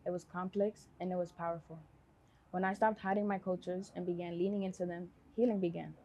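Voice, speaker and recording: A woman from the United States reading a prepared personal speech in a measured, earnest way, in short phrases. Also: fairly low pitch, vocal fry, warm tone, highs cut off, a little hiss or hum.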